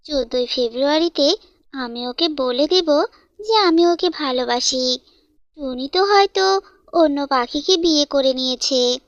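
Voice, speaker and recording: A woman's voice: high voice, unhurried, no room sound, highs cut off. A woman voicing a lovelorn young male bird in a cartoon, brooding and subdued. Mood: pondering.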